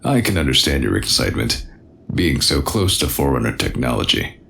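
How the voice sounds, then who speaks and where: Man playing a very deep, gravelly military captain. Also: very animated, slight background noise, full character voice. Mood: smooth, assured. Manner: assured, measured, quietly superior. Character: calm, authoritative male military intelligence captain. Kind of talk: scripted audio drama acting.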